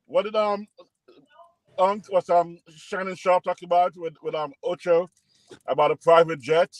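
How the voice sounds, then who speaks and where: A man's voice: high-pitched, steady tone, unhurried, no echo. A man co-hosting a podcast, rambling and joking through a casual sports debate over a call and talking over others. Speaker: podcast co-host.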